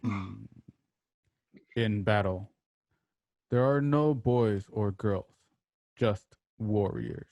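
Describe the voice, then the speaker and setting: Low, slow, stern male warrior, acted by a man. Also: vocal fry, a little mellow, no room sound, full character voice. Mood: grave. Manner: solemn, measured, terse. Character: stern, stoic male warrior mentor and fighter. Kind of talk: radio drama acting.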